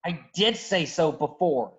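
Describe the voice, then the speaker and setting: A man's voice: a little high, expressive, measured pace. A man acting a cocky, skeptical smuggler in a table read, over a virtual call. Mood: impatient. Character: cocky, skeptical male smuggler, gruff but improvising nervously. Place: virtual call from separate location. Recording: dull lo-fi video-call audio.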